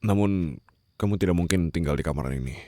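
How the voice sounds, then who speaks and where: A man acting a stern but caring mafia boss in an ASMR roleplay, speaking formally and haltingly into a close microphone. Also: low voice, a little mellow, close to an everyday voice. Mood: apologetic, hesitant. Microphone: close studio-style microphone, dry.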